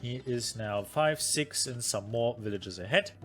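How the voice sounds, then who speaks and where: A man's voice: medium pitch, a little slow, fairly bright tone, faint music behind. A man streaming a review of his own strategy game match, thinking aloud with many fillers, being hard on himself and answering his chat. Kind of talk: casual game commentary.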